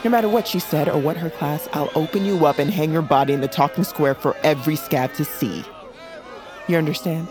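A woman's voice: low voice, fairly quick, a crowd in the background, close to an everyday voice. A woman playing a tough, guarded bird-folk assassin in a dramatic audio fiction, terse, defiant and protective, with music under her voice. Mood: threatening.

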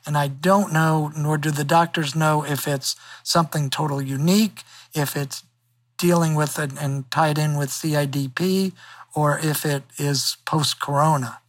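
A man's voice: fairly high pitch, fairly even intonation, moderate pace, no echo. A man in his sixties with a weakened, wispy voice, speaking as an interview guest, upbeat and plain-spoken. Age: 60s. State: recovering in hospital. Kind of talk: phone interview.

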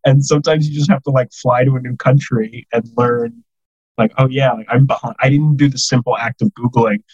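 A man's voice: mid-range voice, steady tone, fairly quick, darkish tone, husky, no echo, highs cut off. A man in his thirties from the United States, a podcast guest telling self-deprecating stories in casual chat, full of "like" fillers.

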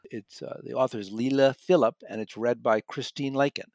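A man's voice: mid-range voice, fairly even intonation, fairly quick, darkish tone, no echo, studio quality. A man giving a casual, conversational audiobook review, thoughtfully rephrasing as he goes. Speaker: magazine contributor and audiobook reviewer.

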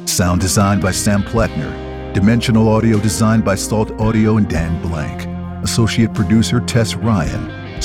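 A man reading scripted credits as an announcer. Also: low-pitched. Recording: studio voice over guitar music.

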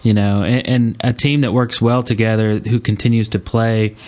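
A man's voice: fairly low pitch, fairly even intonation, moderate pace, a little mellow. A man in casual podcast chat as a host, with an easygoing, slangy way of talking. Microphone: Skype call.

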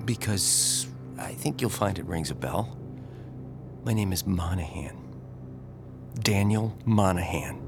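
An American actor playing a cold, vengeful son in a horror radio drama, deliberate and taunting. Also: male voice, sits low, vocal fry, full character voice. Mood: cold, controlled. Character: vengeful son of a slain detective, cold, accusing. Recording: music bed under the voice.

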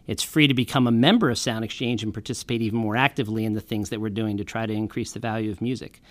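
A man's voice: lowish voice, steady tone, fast talker, no echo. A man from a music royalty organization, explaining earnestly in a podcast panel interview, with frequent restarts.

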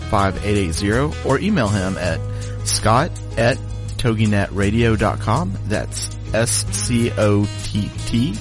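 Man, slightly deep voice, scripted ad read, deliberate, guitar music behind. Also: lively intonation. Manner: spells out contact details deliberately. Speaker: advertisement announcer. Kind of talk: scripted radio promo narration.

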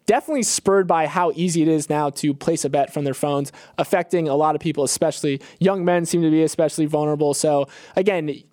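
Higher-pitched American male podcast co-host. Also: a little mellow, no room sound, studio quality. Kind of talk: casual news banter with co-host.

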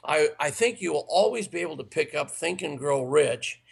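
Man with a tired gravelly voice. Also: on the high side, animated, moderate pace. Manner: earnest, instructive, listing points. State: raspy, tired voice. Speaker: sales trainer guest. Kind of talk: interview answers, advice.

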